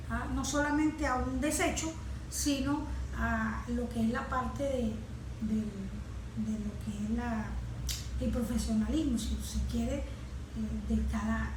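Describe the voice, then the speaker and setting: A woman explaining as a guest specialist, hesitant and halting, pausing often. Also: on the high side. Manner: hesitant, halting, with frequent pauses. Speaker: guest panelist, ecology project specialist. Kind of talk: spontaneous explanatory talk. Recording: roomy echo, faint background noise.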